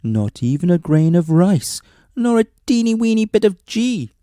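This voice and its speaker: A man's voice: high voice, big pitch swings, moderate pace, dark tone, no echo, clean studio sound. A man narrating a scripted bedtime story as a storyteller, reading expressively and with some drama.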